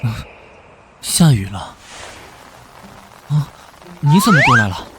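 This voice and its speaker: A male actor voicing an earnest, good-natured, easily flustered police detective in an animated drama. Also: on the high side, slow, heavy background noise, full character voice. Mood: calm, mild. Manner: conciliatory, earnest.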